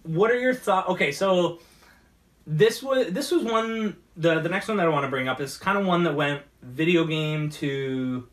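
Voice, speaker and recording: A young man chatting casually as a co-host, halting and repeating his phrases. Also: on the high side, a bit bright. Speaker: talk show co-host. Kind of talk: casual chat. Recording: slightly distant from the mic.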